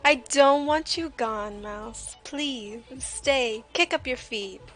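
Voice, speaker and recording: A woman's voice: fairly high pitch, takes it slowly, a bit bright. A woman livestream host chatting casually and jokingly while she draws, with a playful, self-deprecating way of talking. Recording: music playing behind the voice. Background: music.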